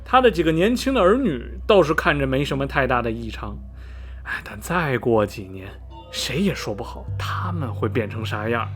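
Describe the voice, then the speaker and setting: A man's voice: mid-range voice, big pitch swings, moderate pace, a bit bright, faint music behind. An actor playing a gossipy middle-aged small-town man in a radio drama, skeptical yet repelled, sighing and drawing out his phrases dramatically. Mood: disgusted, ominous. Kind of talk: radio drama acting, animated storytelling.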